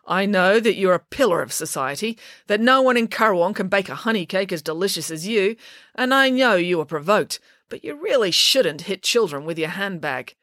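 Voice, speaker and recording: A woman's voice: medium pitch, lively intonation, normal speed, a bit bright, no room sound, clean studio sound. A woman voicing an officious small-town police constable, firm, reproving and matter-of-fact.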